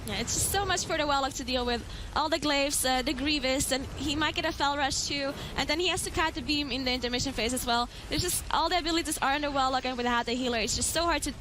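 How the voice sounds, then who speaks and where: A woman's voice: high voice, quick pace, few pauses, noisy background. A woman giving explanatory live analysis as a commentator on a video game match.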